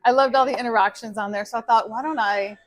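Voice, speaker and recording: A woman's voice: fairly high pitch, steady tone, fast talker. A middle-aged woman from Cuba who grew up in Texas, a guest telling her story in a casual interview, chatty, with many ums and restarts. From Cuba, raised in Texas. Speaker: guest, media company founder.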